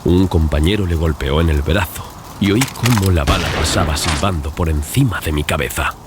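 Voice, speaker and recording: A man with a very deep voice narrates a horror audiobook. His delivery is scripted, dramatic storytelling. Noticeable ambient noise sits behind the voice. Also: moderate pace. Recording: clear background ambience and noise. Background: sound-design ambience.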